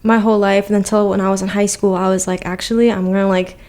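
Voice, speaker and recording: A woman's voice: medium pitch, level tone, fast talker, a little mellow. A young woman from Milwaukee, Wisconsin, a podcast guest talking casually and rambling with lots of fillers. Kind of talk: casual conversation.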